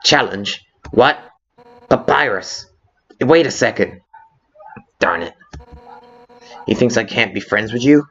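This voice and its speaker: A man's voice: on the low side, lively intonation, slow pace, long pauses, fairly bright tone, heavily acted. A man voicing a fierce, brash female fish-warrior monster in a video game fan dub, blustering and sarcastic. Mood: competitive, defiant. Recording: muffled lo-fi audio with background music.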